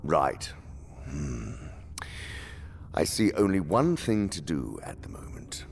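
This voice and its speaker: An actor playing a haughty, scheming senior demon who lectures in a pompous, theatrical, condescending way, in a radio drama. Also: male voice, big pitch swings, slow pace, full character voice. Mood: scheming, instructive. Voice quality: very deep, gravelly.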